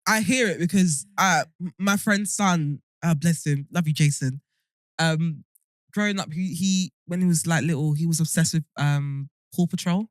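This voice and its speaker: Young girl chatting on a podcast, teasing and animated, dry close sound. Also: low voice, moderate pace, dry recording. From United Kingdom. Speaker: podcast co-host. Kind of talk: casual chat.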